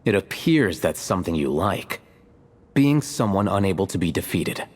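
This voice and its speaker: A man's voice: low voice, big pitch swings, normal speed, a little mellow. A man voicing a cool, laconic, dryly observant character in a video game.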